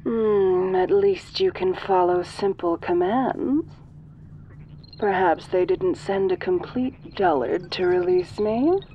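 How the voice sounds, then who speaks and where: Woman playing a slow, haughty, seductive alien mistress. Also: on the low side, highs cut off, background noise. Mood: amused, condescending. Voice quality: soft. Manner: condescending, imperious, slow and teasing. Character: sinister domineering female alien lifeform, ancient, haughty, seductive. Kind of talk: audio roleplay addressed to the listener.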